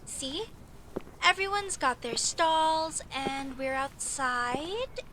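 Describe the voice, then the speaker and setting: A woman's voice: high-pitched, extremely slow, background noise, heavily acted. A woman playing an earnest, proud young female elf mage who speaks expressively and intimately to the listener in an audio roleplay. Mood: playful, proud. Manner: expressive, chatty, mock-indignant at times, softening to sincere.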